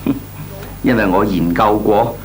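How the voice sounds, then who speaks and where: An actor playing a cocky, slangy young layabout nephew in a Cantonese radio comedy drama, scoffing and flippant, with a Hong Kong Cantonese accent. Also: male voice, on the low side, natural voice. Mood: grumbling. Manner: scoffing, flippant, drawn-out theatrical delivery. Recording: noisy old radio recording with hum.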